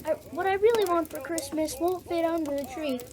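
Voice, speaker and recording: A woman's voice: extremely high pitch, a little fast, a crowd in the background. A woman playing a polite, wistful little boy in an old-time radio comedy.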